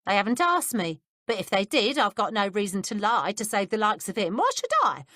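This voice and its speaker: A woman's voice: medium pitch, lively intonation, fairly quick, sharp tone, no echo, studio quality, voice pushed up. Woman doing a blunt, coarse housekeeper. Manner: blunt, common speech.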